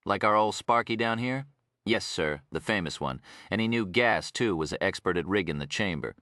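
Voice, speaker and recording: A man's voice: sits low, expressive, moderate pace, pushed and bright, dry recording, studio quality. A man voicing a retired Texan prison officer in an audiobook, an older man with a folksy, rambling drawl. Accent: Texas.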